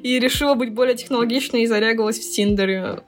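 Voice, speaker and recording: A woman's voice: fairly high pitch, fast talker. A woman of twenty co-hosting a podcast in casual, candid chat, with slangy wording and a teasing streak. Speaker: podcast co-host. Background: lo-fi music.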